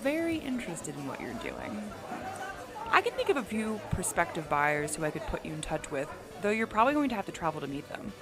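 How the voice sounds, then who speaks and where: A woman's voice: on the low side, wildly swooping pitch, fairly quick, music in the background, crowd noise. A woman playing a confident, playful businesswoman with secrets in an audio drama, speaking in a breezy, self-assured conversational way. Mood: friendly, interested.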